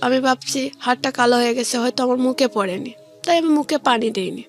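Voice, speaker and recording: Female interview guest, Bangladeshi Bengali accent, high voice, halting colloquial storytelling, faint music underneath. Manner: colloquial, halting, repeats phrases.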